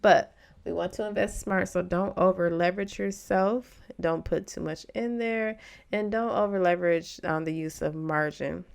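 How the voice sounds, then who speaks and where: A woman's voice: lowish voice, normal speed, gravelly. A woman hosting an informal investing explainer for beginners, speaking in an encouraging, reassuring way as she gives advice. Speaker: host of a stock investing channel for beginners. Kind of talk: informal explanatory video commentary.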